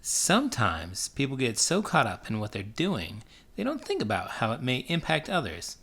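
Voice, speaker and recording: A man reading a children's book aloud in an expressive way, with big swings in volume. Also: medium pitch, moderate pace, creaky, breathy edge, no echo. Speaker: children's book reader and teacher. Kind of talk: animated storybook read-aloud.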